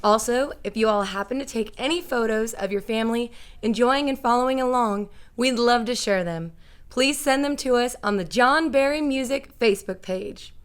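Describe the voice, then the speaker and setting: Clear, higher-voiced woman announcer. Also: normal speed. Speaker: announcer introducing the show. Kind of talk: friendly show announcement.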